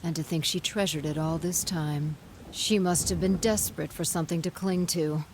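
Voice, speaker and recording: A woman voicing a haughty, scornful goddess villain in a video game cutscene, speaking in a measured, condescending and theatrical way. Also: sits low, steady tone, darkish tone, a little hiss or hum, close to an everyday voice, poor audio. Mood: mocking disdain. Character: haughty, scornful goddess, coolly amused villain behind it all.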